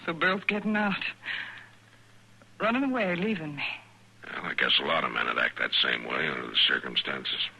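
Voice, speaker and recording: A woman's voice: rumbling low, very animated, normal speed, gravelly, pushed and bright, breathy edge, full character voice. An actress playing a hurt, bitter young frontier woman in a 1950s radio western. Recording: old radio recording with faint noise.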